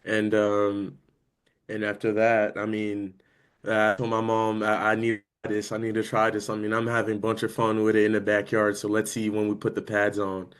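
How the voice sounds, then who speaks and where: A man's voice: lowish voice, monotone, moderate pace, clear, resonant tone. A young man from France, a native French speaker, talking casually as a guest about his football career, with lots of "I mean" fillers. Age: young adult. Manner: easygoing, many "I mean" fillers. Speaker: guest, college linebacker. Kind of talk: casual interview.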